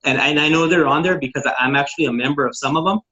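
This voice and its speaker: A man's voice: a little high, fast talker, pushed and bright, dull audio. A man, a network marketing consultant from Texas, casually teaching on a group call, eager and informal, with many fillers and restarts.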